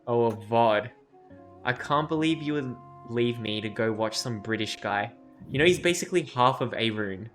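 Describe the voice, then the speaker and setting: A man's voice: medium pitch, moderate pace, a bit bright, soft background music. A man streaming a game, chatting casually in a sweary, argumentative, teasing way. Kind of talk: casual banter while livestreaming a game.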